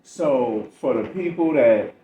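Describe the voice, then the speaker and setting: A man's voice: mid-range voice, expressive, takes it slowly, warm tone. An American man hosting a casual podcast interview, asking questions in a slangy, familiar way. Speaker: podcast host, film director. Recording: dull, echoey, distant mic, faint noise.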